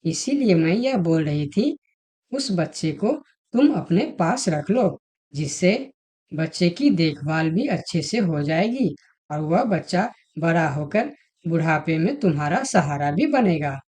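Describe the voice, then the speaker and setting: A woman playing female animals in an animated moral fable, with a persuasive manner. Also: sits low, unhurried. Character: female talking animals, fox and elephant, in a fable. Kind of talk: Hindi animated moral story dialogue.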